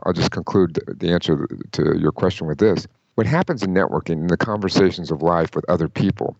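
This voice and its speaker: A man giving career advice in an interview, emphatic and lively, often quoting people in mock voices. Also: low-pitched, no room sound, dull audio. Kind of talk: conversational interview.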